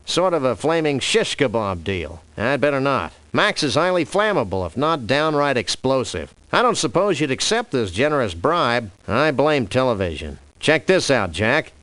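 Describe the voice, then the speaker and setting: A man voicing a laid-back cartoon dog detective in a video game, delivering scripted lines in a deadpan, dryly wordy manner. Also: mid-range voice, normal speed, few pauses, no echo. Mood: wry, deadpan. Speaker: freelance police detective.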